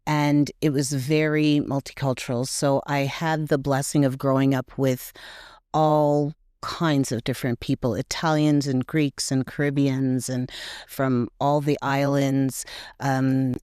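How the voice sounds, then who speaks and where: Reflective woman guest with a smooth voice. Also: rumbling low, flat delivery, dry recording, studio quality. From Montreal, Canada. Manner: warm, reflective, many "um" pauses. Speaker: podcast guest, grief support group co-founder. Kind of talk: casual interview conversation.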